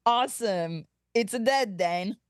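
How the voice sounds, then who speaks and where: Woman playing an excitable, awkward young male ensign. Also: mid-range voice, measured pace, clear, resonant tone, no room sound, studio quality, natural voice. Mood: cocky. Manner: stammering, flustered. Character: awkward, eager young male ensign trying to act cool. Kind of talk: amateur table read of animated sci-fi comedy script.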